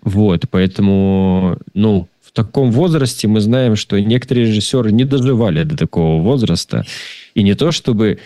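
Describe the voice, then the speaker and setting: A man giving a casual film review in conversation, speaking in a halting, rambling way and often repeating words. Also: on the low side, dark tone, dry recording, studio quality. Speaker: film discussion participant.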